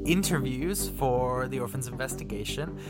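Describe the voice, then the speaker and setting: A man interviewing a guest for a podcast in a casual, informal and somewhat halting way. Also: mid-range voice, loud music behind the voice. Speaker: interviewer, podcast series creator. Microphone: remote internet call interview.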